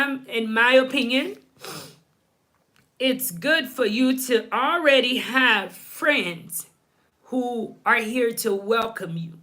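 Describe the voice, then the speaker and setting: A woman's voice: high voice, pushed and bright. A woman from Haiti hosting a live stream, sharing opinions casually and emphatically, repeating phrases for emphasis. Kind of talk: casual opinion talk to viewers.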